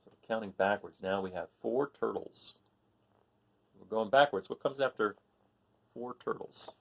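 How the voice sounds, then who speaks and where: A man reading a picture book aloud to children, pausing to count along and quiz his young listeners. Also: on the low side, creaky, highs cut off.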